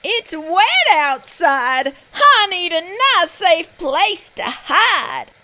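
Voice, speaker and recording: A timid farm animal from a bedtime storybook voiced by a woman. The voice is high and bright, drawing out its rhymes slowly in a pleading sing-song. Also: big pitch swings, heavily acted. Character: timid farm animals: goat, hen, goose, cow.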